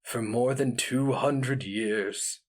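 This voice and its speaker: A man voicing an ancient, mad, inhuman immortal in a dramatic fantasy reading, speaking gravely and portentously. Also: mid-range voice, fairly even intonation, drawn-out words. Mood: grave, ominous.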